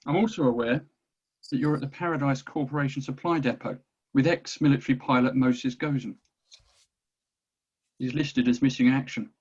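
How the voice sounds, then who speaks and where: An actor in a screenplay table read playing a stern, formal superior officer, coolly knowing, with a gravelly, raspy voice and clipped delivery. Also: male voice, mid-range voice, moderate pace, pauses often, a little mellow, lo-fi sound.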